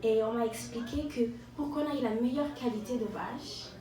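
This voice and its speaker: A woman from Rwanda performs a self-mocking, ironic stand-up monologue, often pausing and repeating words. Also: a little high, a crowd in the background. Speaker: stand-up comedian. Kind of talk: stand-up monologue to a live audience. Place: live venue with an audience. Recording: echoey room, distant mic, audience noise.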